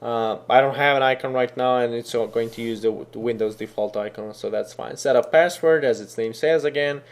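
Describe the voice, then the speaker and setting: Casual man walking through software setup. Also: medium pitch, a little fast. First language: Serbian. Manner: casual, explanatory, thinking aloud with fillers. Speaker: software tutorial instructor. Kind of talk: screen-recorded coding tutorial.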